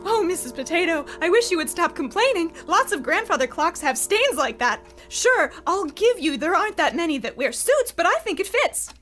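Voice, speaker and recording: A woman's voice: very high voice, very animated, moderate pace, few pauses, fairly bright tone, soft background music, heavily acted. A woman playing a paranoid, scatterbrained shut-in who frets and talks to herself in an old-time radio comedy. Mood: frantic, scheming. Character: paranoid, unhinged shut-in woman talking to her potato.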